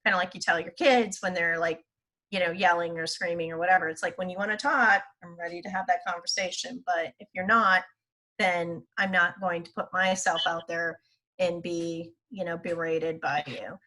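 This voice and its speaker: A woman talking in a group discussion over a video call, with a low-pitched voice that sounds bright and forceful. She rambles in a chatty way and adds touches of humor. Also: steady tone, normal speed, low-quality recording. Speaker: panelist.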